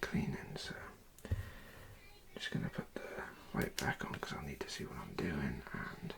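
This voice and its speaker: A person whispering slowly and soothingly in a breathy, creaky voice as a dentist instructing a patient in an ASMR roleplay. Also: a bass voice, lively intonation. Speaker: ASMR creator playing a dentist. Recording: lo-fi close-up ASMR recording.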